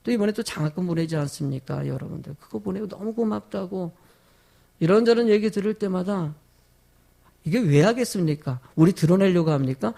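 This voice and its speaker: High-pitched, gravelly man preaching. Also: warm tone. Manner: rhetorical questions put to the congregation; emphatic, with drawn-out stressed phrases. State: sore throat from a cold. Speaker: pastor preaching to his congregation. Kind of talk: sermon.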